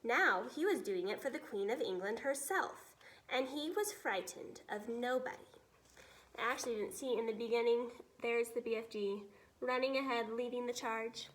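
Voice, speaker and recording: A woman reading a children's story aloud in an expressive teacher's storytelling style. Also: on the high side, normal speed, rough recording.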